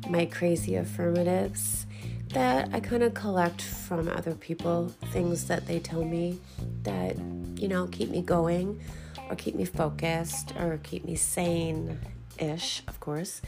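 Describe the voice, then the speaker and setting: A woman's voice: fairly low pitch, vocal fry. A middle-aged woman hosting her own podcast in a casual, rambling, self-deprecating monologue, a little congested from a recent illness. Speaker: podcast host.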